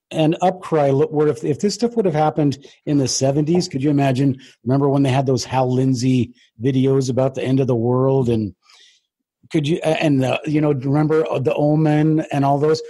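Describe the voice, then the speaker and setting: A man's voice: medium pitch, dark tone, husky. A man hosting an interview in a casual, conversational way, asking long rambling questions with restarts. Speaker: interview host.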